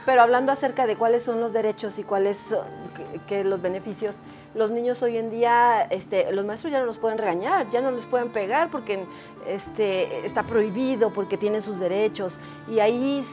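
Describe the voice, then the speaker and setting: Female talk show host, bright higher voice, chatty and direct, soft music bed. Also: moderate pace. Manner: chatty, friendly, asks direct questions. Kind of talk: TV studio interview. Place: TV studio set. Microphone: lapel mic.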